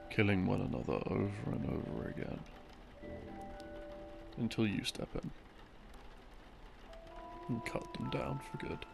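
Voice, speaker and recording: Man acting as easygoing boyfriend, very creaky low voice, rambling bedtime storytelling, casual asides, unhurried, music underneath. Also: heavily acted. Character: boyfriend rambling through video game lore, easygoing adult man. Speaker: audio roleplay performer. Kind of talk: rambling bedtime storytelling, sleep-aid roleplay audio. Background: background music.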